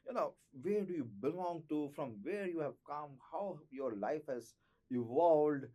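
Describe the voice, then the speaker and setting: A man's voice: fairly high pitch, expressive, unhurried, dark tone. A man hosting an interview, asking effusive questions in flowery, repetitive phrasing. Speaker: interview host.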